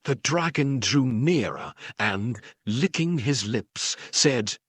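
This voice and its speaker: A man reading a children's story aloud in an expressive, dramatic narrating style. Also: medium pitch, slow, slightly rough, no room sound, studio quality. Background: background music.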